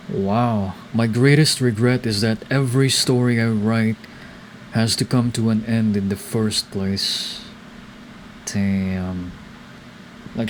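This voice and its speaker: A man's voice: lowish voice, a little hiss or hum. A man giving casual, informal reaction commentary on a game story, musing and repeating himself thoughtfully as he goes.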